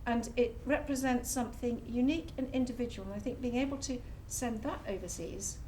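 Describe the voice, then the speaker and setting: Woman, panelist, fairly high voice, faint hum behind, earnest, measured. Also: warm tone. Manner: earnest, measured, arguing a point. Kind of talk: panel discussion. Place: public talk venue.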